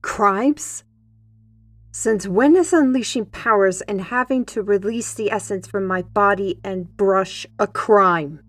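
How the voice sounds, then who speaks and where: A woman's voice: mid-range voice, slow, no room sound, close to an everyday voice. A woman playing a defiant, sardonic young artist with magical powers in a fantasy audio drama, speaking formally and pointedly. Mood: indignant.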